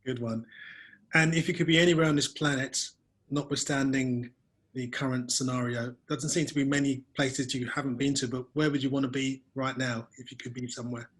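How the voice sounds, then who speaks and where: A man's voice: medium pitch, fairly even intonation, a little fast, a bit bright. Man hosting a webinar, asking questions. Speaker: webinar host. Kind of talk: interviewing a guest. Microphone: video call.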